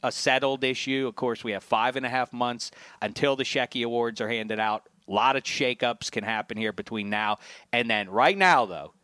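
Male podcast co-host, mock-grand banter, bright tone, dry booth. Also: medium pitch, moderate pace, clear, resonant tone, no echo. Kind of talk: casual chat.

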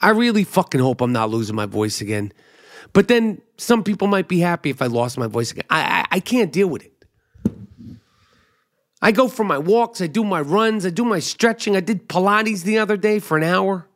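A man's voice: high-pitched, lively intonation, normal speed, a bit bright. A man hosting a podcast, rambling through a profane, self-mocking comedic monologue. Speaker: podcast host.

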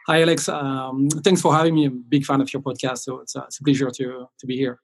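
A man's voice: medium pitch, level tone, fast, husky. A middle-aged man with a French accent, speaking conversationally as an interview guest. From south of France. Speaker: sales director, podcast guest.

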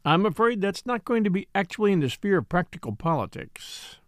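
A woman voicing a bitter, sardonic English gentleman with a clipped, dry, mocking manner, in a storybook reading. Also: lowish voice, sing-song, moderate pace, no echo. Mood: dry, reflective.

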